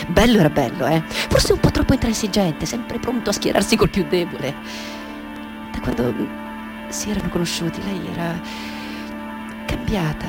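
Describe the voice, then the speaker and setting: A woman playing an older mother in a radio drama monologue, wistful and halting as she remembers, questioning and correcting herself. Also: lowish voice, lightly acted. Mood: wistful, tender. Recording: music under the voice.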